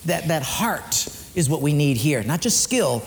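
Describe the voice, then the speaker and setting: A man preaching an earnest sermon as pastor in a church, swinging between lifted emphatic lines and hushed ones, then leading a prayer. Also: fairly high pitch, sing-song, unhurried, in a large hall, a little hiss or hum.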